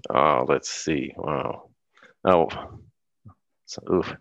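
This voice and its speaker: Casting: middle-aged American man, deep gravelly voice, dry close sound, loose storytelling guest. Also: very slow, fairly bright tone, no echo, highs cut off. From United States. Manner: rambling, laughs often, many restarts. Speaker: guest musician. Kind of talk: casual interview chat.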